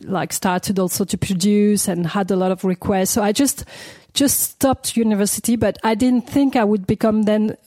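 A mellow, warm-toned woman talks through her answers in a relaxed interview. She often restarts her sentences and laughs lightly as she searches for words. The sound is dry and close, with no room around her. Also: mid-range voice, moderate pace, hardly any pauses, no echo. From France (Paris). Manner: hesitant, many restarts, laughs between phrases. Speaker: guest, DJ and producer.

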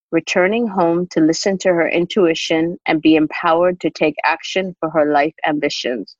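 A woman podcast host introducing a guest in a scripted, even delivery. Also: lowish voice, dry recording, highs cut off.

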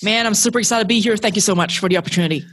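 A woman's voice: mid-range voice, rapid-fire, fairly bright tone, breathy edge. A woman from Singapore chatting informally and telling her story as a podcast guest. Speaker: podcast guest, marketing agency founder. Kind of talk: casual animated storytelling.

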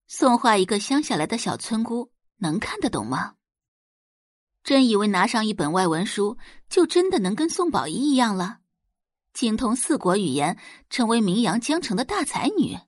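A woman reading a dramatic audiobook in an expressive storytelling manner. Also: fairly high pitch, normal speed, breathy edge, dry recording, clean studio sound.

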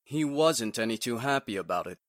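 A man's voice: medium pitch, animated, normal speed, dry recording, clean studio sound, lightly acted. A man playing a catty, gossipy witness in an old-time radio drama. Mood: catty, telling.

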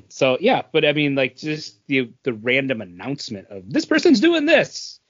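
A man's voice: mid-range voice, very animated, measured pace, husky. Man chatting casually on lo-fi audio. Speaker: podcast co-host. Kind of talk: casual chat. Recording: dull, lo-fi audio.

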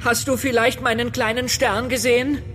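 A man playing a small, gentle, polite star man in a children's audio play, hesitant and a little worried. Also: extremely high pitch, level tone, normal speed, soft background music. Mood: searching.

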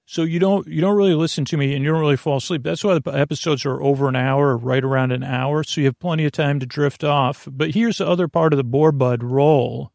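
A man hosting a bedtime podcast in a meandering, halting monologue, often interrupting himself and stammering into restarts. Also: fairly high pitch, gravelly, a little mellow, no room sound, clean studio sound. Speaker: podcast host.

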